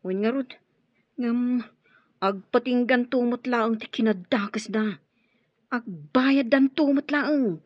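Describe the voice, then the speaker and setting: A woman's voice: a little high, slow, pauses often, no room sound. A woman playing a wary, level-headed accomplice with an Ilocano accent in a radio drama, cautioning as she speaks. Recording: dull, dry radio drama audio.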